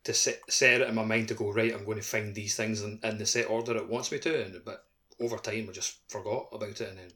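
A man's voice: lowish voice, steady tone, fast talker, bright, forceful tone. A man co-hosting a podcast, chatting casually about video games. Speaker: podcast co-host. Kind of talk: casual gaming podcast chat.

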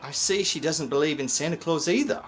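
An actor playing a friendly, charming gentleman in a radio drama, genial and polite. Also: on the high side, brisk, faint music behind, natural voice. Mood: courteous. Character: friendly, charming gentleman neighbour courting a mother. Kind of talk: old-time radio drama acting.

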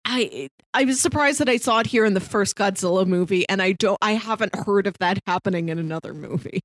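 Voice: medium pitch, brisk, fairly bright tone, no echo. A podcast co-host in casual conversation about film.

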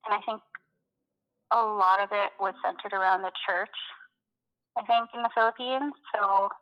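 A woman's voice: mid-range voice, fairly even intonation, moderate pace, lo-fi sound. A young woman from Canada chatting casually as an interview guest, rambling and hesitant with many fillers. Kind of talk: casual conversation.

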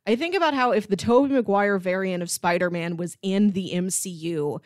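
A woman's voice: medium pitch, fairly quick, no room sound. Fluent, emphatic woman in a dry room. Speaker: podcast co-host. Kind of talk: casual analytical debate chat.

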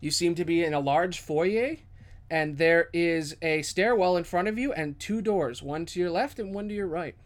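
Male game master, slightly high register, improvised and descriptive tabletop storytelling with a dramatic touch. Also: moderate pace. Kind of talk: improvised tabletop fantasy narration.

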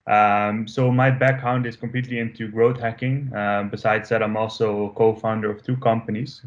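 A man from Amsterdam, a podcast guest talking casually with many fillers. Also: fairly low pitch. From Amsterdam, Netherlands. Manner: relaxed, hesitant with many fillers. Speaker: podcast guest, growth marketer. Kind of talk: casual interview talk. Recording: dull lo-fi remote audio.

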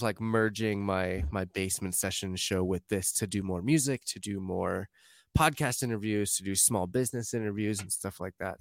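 A man's voice: on the low side, no echo, studio quality. A man hosting a podcast, rambling casually with restarts and the odd swear word. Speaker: podcast host.

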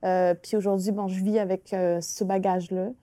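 A woman with a Quebec French accent whose first language is Innu, talking through her ideas slowly, with frequent restarts. Her voice is mellow and warm. The sound is dry and close. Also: mid-range voice, little pitch movement, no room sound. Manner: thoughtful, hesitant, many restarts and "tu sais". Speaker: author and artist guest. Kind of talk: reflective conversational interview.

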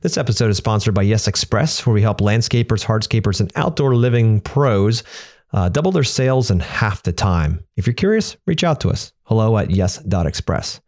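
A man's voice: lowish voice, expressive, moderate pace, darkish tone. A middle-aged man hosting a podcast, upbeat and a little rambling, repeating words as he talks to his guest. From Pennsylvania. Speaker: podcast host. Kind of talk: podcast interview.

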